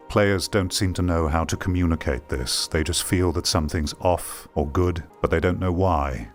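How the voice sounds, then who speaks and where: A man with a deep, low-pitched voice talks over faint background music. He delivers opinionated commentary like a video essayist. His manner is emphatic and probing, built around pointed rhetorical questions. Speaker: video game commentator and host.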